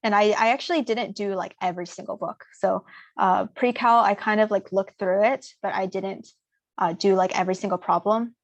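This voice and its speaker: A young woman speaking informally as a student presenter, answering audience questions in a relaxed way with many "um"s and "like"s. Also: mid-range voice, normal speed, no room sound, dull audio. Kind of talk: casual Q&A seminar.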